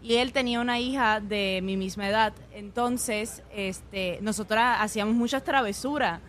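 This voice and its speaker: A young woman, a chef from Puerto Rico with an accent mixed between Puerto Rican and Mexican Spanish, telling stories in a relaxed way with frequent fillers in a radio interview. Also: medium pitch, normal speed. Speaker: guest chef.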